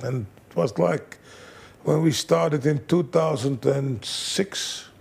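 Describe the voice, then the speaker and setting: A man, a fight trainer, telling animated stories in broken English as an interview guest. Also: fairly high pitch, takes it slowly, vocal fry, breathy edge. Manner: animated storyteller, broken English, acts out quotes. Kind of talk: casual storytelling interview.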